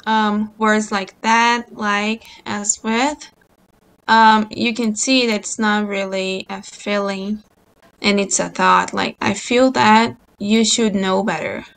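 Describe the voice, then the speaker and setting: Unhurried Portuguese-speaking woman on a call. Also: medium pitch, steady tone, gravelly, fairly bright tone. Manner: slow, hesitant, many ums. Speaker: book club presenter. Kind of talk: casual video-call discussion, reading aloud. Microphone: video call.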